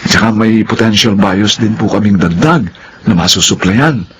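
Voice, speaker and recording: Polite young son-in-law played by a man, deferential and hesitant, lowish voice, radio drama. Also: moderate pace, a bit bright, a little hiss or hum, close to an everyday voice. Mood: respectful. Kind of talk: Filipino radio drama acting.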